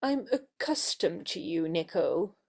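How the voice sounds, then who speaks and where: Lively, wilful young coquette, acted by a woman. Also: on the low side, creaky, husky, full character voice. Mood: winning, playful. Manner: coquettish, brisk. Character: playful, wilful young actress, teasing her suitor. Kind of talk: audio play acting.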